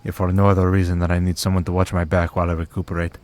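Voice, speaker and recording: A man playing a weary, devout and gentle vampire hunter priest in an ASMR roleplay, speaking in a measured, solemn way with pauses. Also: sits low, level tone, lightly acted. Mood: wry, warm. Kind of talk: ASMR roleplay audio drama.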